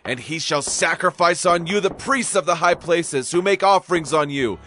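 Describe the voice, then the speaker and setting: An actor playing a fiery prophet who cries out judgment in a drawn-out, solemn proclamation for a dramatized audio Bible. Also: male voice, fairly high pitch, bright, forceful tone, a little hiss or hum. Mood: fervent, solemn.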